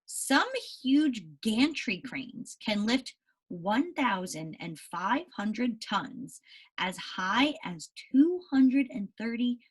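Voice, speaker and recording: A woman teacher giving a read-aloud lesson to young children, animated and explanatory with frequent check-in questions, in a slightly husky voice. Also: a little high, takes it slowly, low-quality recording. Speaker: elementary school teacher.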